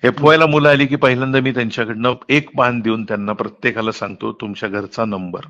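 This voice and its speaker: A man's voice: mid-range voice, fairly even intonation, quick pace, fairly bright tone. Middle-aged man, emphatic and detailed explainer with English words mixed in, muffled call-recording sound. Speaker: college principal. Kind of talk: earnest explanatory phone conversation. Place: phone call.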